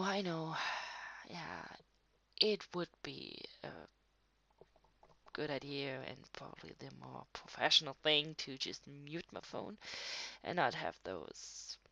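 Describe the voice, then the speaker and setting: A woman improvising an intimate one-sided roleplay as a tired, affectionate writer talking to a partner late at night, halting and breathy, often dropping to a near whisper. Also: low voice, no echo. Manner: hesitant, halting, with frequent sighs and soft laughs. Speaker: audio roleplay performer.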